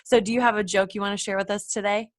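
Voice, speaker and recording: A woman's voice: mid-range voice, level tone, fast talker. A woman hosting a kids' podcast, asking questions and affirming her guest in an encouraging way. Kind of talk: friendly interview questions.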